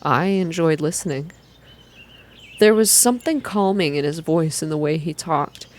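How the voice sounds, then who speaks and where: A woman softly reading a scripted first-person story in a measured ASMR style, as narrator. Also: sits low. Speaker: reader, narrator.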